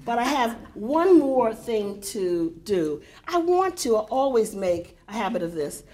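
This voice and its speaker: Older woman host, big voice, leisurely and warm-toned fillers, higher than usual pitch, introducing a reading. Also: sing-song. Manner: warm, chatty, unhurried with frequent fillers. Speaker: poetry center director introducing a reading. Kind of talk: welcoming remarks to a live audience. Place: lecture hall at a university.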